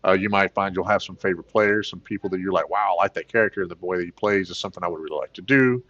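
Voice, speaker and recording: A man with a plain baritone voice, hosting a casual gaming livestream, rambling with many fillers and excited bursts. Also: rapid-fire, lo-fi sound. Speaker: gaming channel host.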